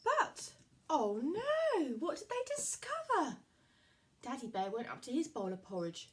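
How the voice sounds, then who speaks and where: Animated, high-voiced woman storyteller for children. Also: a little slow. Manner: encouraging, sing-song, addressing young listeners.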